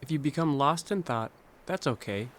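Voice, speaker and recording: A man narrating a scripted guided meditation in a calm, gentle, instructional way, leaving pauses between phrases. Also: medium pitch, a little hiss or hum.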